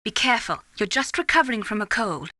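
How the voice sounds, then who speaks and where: An actress playing a composed, concerned military medical adviser in a video game, speaking in a measured, informative way. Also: female voice, fairly high pitch, big pitch swings, pushed and bright, no echo, studio quality.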